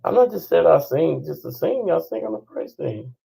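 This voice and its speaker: A hoarse man with an American accent telling a story in casual chat and acting out what others said. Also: a little high, very animated, mellow. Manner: slow, drawling storyteller who acts out other people's lines. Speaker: channel host. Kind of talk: casual storytelling with quoted dialogue and sung passages.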